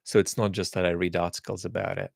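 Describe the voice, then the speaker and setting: Low-voiced man, thoughtful and measured. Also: flat delivery, gravelly, a little mellow, no echo, studio quality. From England. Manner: thoughtful, measured, frequent fillers and restarts. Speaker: host answering viewer questions. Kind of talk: casual chat.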